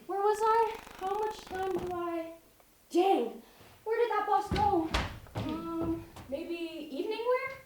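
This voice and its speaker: A young actress plays a scatterbrained, sentimental chatterbox of a woman on stage. She is excitable yet hesitant, taking her time over her words. The sound is echoey and picked up from far away. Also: extremely high pitch, takes it slowly, background noise. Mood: flustered. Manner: excitable, hesitant, talks to herself. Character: flustered, chatty woman cluttered with sentimental old clothes. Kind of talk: one-act stage comedy acting.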